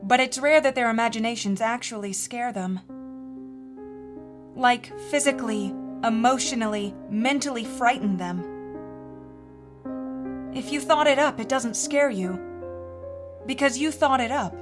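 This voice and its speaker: A woman playing a haunted mother in her forties, telling a first-person horror story in a measured, earnest, confiding way. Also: a little high, close to an everyday voice. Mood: sober, confiding. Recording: music bed under the voice.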